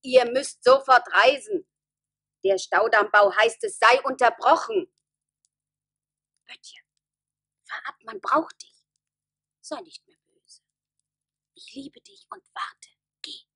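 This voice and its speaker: A devoted townswoman messenger in a radio play. She speaks slowly and deliberately, with theatrical diction. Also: on the high side, a bit bright, husky, exaggerated voice. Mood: tender. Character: devoted townswoman bringing urgent news to the council. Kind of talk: German radio play acting.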